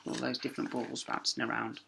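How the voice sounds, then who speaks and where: A man's voice: medium pitch, completely flat delivery, vocal fry, pushed and bright. A man reading a children's story aloud like a primary teacher, dramatic and emphatic. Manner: dramatic, with emphatic pauses and sound words.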